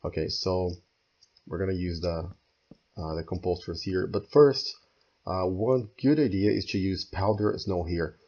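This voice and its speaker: A hoarse man who is losing his voice, walking through a game build step by step and thinking aloud as he goes. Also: low voice, unhurried, warm tone, lo-fi sound. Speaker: game tutorial creator. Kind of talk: screen-recorded building tutorial.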